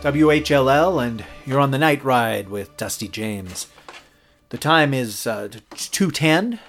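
An actor playing a rookie late-night radio DJ in a horror audio drama, jittery, hesitant and prone to stammering. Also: male voice, medium pitch, lively intonation, measured pace, fairly bright tone, soft background music. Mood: flustered, apologetic.

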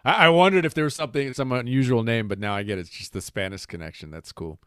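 A man's voice: medium pitch, fast, a bit bright, clear, resonant tone, no room sound, studio quality. A man hosting a casual interview, chatting informally and with enthusiasm. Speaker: interviewer, host.

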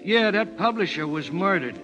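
An actor playing a dim, eager racket underling with halting, ungrammatical talk in a 1930s radio drama. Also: male voice, high voice, lively intonation. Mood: eager, muddled. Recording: vintage 1930s radio recording, music behind. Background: music.

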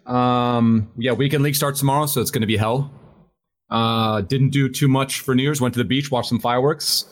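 A man's voice: mid-range voice, steady tone, normal speed. Easygoing man in his thirties. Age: 30s. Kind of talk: casual group chat. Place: online voice chat.

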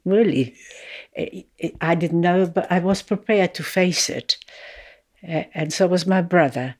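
An older woman speaks in a lowish voice, taking her time. She tells her story reflectively, with gentle laughs. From Poland. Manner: slow and thoughtful, with soft laughs. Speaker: interviewee. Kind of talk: reflective personal recollection.

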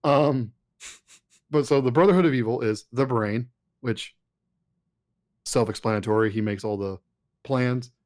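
A man co-hosting a casual, conversational review of an animated series. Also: mid-range voice, measured pace, long pauses. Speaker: podcast co-host.

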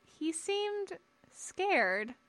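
A woman's voice: extremely high pitch, sing-song, extremely slow, fairly bright tone, voice pushed up, exaggerated voice. A woman voicing a young boy apprentice, earnest, questioning and hesitant, in a story reading. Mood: worried, questioning.

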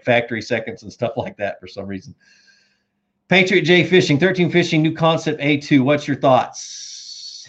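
A man hosting a casual fishing chat, reading viewer questions and answering in a relaxed, friendly way, slightly hoarse as he loses his voice. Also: mid-range voice, highs cut off. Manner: laid-back, says dude and man, reads viewer comments aloud. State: losing his voice.

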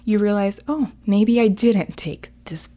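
A young woman of high school age whose first language is Spanish talks as a guest on a student podcast. Her delivery is informal and chatty, peppered with filler "like". Her voice sits at a medium pitch. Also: measured pace, mellow. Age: late teens, high school student. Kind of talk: casual conversational interview.

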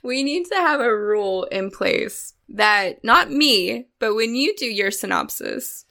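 A woman's voice: on the high side, lively intonation, unhurried, creaky, bright, forceful tone. A woman co-hosting a podcast in casual chat, enthusiastic and giggly, gushing about a film. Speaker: podcast co-host.

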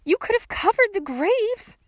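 A woman's voice: very high voice, animated, a little fast, no room sound, pitched above the performer's own voice. A woman voicing a desperate, exasperated young girl, pleading urgently and emphatically in an audiobook.

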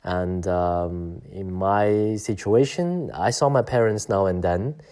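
Hesitant, reflective man, low voice. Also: takes it slowly, dark tone. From Belgium. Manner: reflective, hesitant, many fillers and restarts. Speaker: vlogger. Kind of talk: personal vlog talk.

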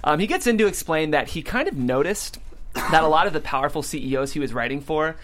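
A man's voice: fairly high pitch, animated, quick pace, noisy background. A man, hosting and narrating a script read in an upbeat way.

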